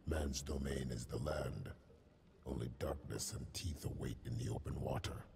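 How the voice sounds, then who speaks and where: An actor playing a grim, vengeful drowned killer in a video game, speaking with ominous, half-whispered menace. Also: male voice, rumbling low, steady tone, normal speed, creaky. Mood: brooding menace. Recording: game audio with loud ambient noise.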